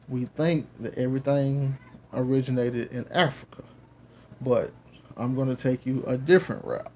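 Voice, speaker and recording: A man's voice: medium pitch, a little mellow, a little hiss or hum. A man with a Southern accent giving a Bible lesson at home, earnest and rambling with many fillers. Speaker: Bible teacher. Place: home with children and babies around.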